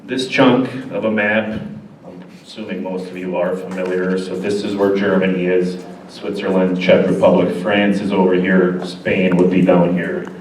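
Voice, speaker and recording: Deep-voiced man in an echoey hall. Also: level tone, darkish tone. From Bosnia. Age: 40s. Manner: casual, halting, many fillers. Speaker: church presenter. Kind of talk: informal presentation. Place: church hall. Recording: echoey hall, distant mic, faint noise.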